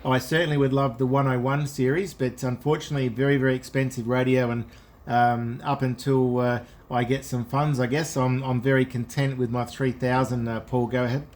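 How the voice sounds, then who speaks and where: A man's voice: medium pitch, little pitch movement, a little fast, darkish tone. A man, an amateur radio operator, making casual on-air contact in a friendly, enthusiastic way.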